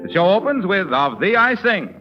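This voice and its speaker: A man's voice: high voice, sing-song, normal speed. An American man announcing on 1930s radio from a script, with a polished, salesmanlike delivery. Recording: dull 1930s radio recording with music.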